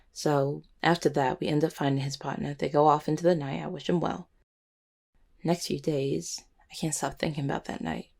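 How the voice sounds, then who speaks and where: A woman voicing an anxious, streetwise cupid in an intimate audio roleplay, slangy and sweary, with a husky, halting, emotional delivery. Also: sits low, darkish tone. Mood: reflective, wistful. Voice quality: husky, gravelly. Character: anxious, guilt-ridden cupid who helps clients, streetwise.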